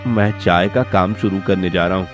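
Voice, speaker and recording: Man playing a low-voiced humble village tea seller. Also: steady tone, moderate pace, music in the background, close to an everyday voice. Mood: hesitant, polite.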